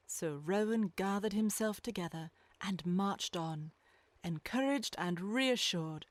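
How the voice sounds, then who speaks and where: A woman's voice: mid-range voice, no room sound. A woman narrator reading a scripted animal story in storybook style.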